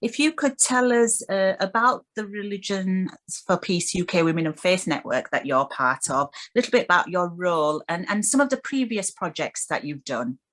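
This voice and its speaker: Lower-pitched woman hosting radio. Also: lively intonation, moderate pace, hardly any pauses, a bit bright. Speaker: radio host. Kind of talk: radio show introductions and interviewing.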